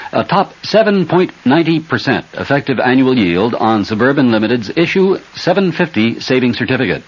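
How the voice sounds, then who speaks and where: An American man announcing a scripted 1970s radio bank advertisement with a husky voice. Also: mid-range voice. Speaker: commercial announcer. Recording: dull old radio broadcast.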